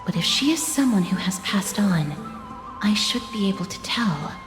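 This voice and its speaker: A woman's voice: medium pitch, lively intonation, lightly acted. Solemn, guarded woman in echoing hall, acted. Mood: wary, hushed. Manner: stern, formal, measured. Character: guarded, solemn young woman, wary and formal protector. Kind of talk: video game voice acting. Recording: reverberant hall echo. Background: music.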